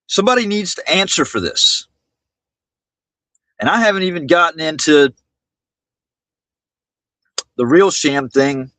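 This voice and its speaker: A high-voiced man speaks indignantly to his livestream viewers. His delivery is slow and halting, with words often repeated. The sound is close and dry. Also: fairly bright tone, dry recording. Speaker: livestream host.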